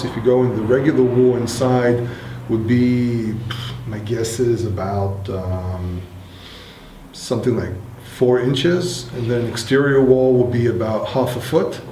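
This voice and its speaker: A man in his thirties with an Israeli accent, explaining things in a relaxed, hesitant way with many fillers. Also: mid-range voice, slight background noise. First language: Hebrew. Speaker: interviewee. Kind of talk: casual explanatory talk. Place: bomb shelter in an apartment building. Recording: echoey concrete room.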